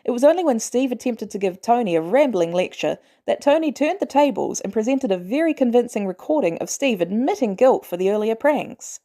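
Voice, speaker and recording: Female narrator for an audiobook-style fan fiction reading; warm, relaxed tone with a lively, expressive read. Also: fairly high pitch, brisk, hardly any pauses. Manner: expressive storytelling, animated delivery. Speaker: podfic reader.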